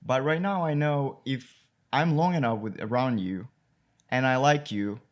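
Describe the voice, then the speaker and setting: A man's voice: mid-range voice, unhurried. Easygoing young man, Ukrainian accent. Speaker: podcast guest. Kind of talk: casual chat.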